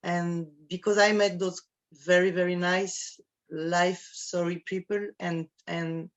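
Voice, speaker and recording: Slow, low French woman, muffled. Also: level tone. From France. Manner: halting, searching for words with many restarts. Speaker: guest. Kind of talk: casual interview.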